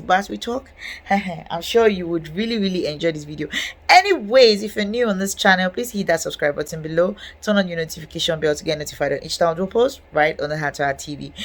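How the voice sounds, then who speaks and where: Chatty Nigerian woman, slightly low voice. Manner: chatty, repeats "okay" and "guess what", laughs often. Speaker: real estate channel host. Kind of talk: casual talk to camera.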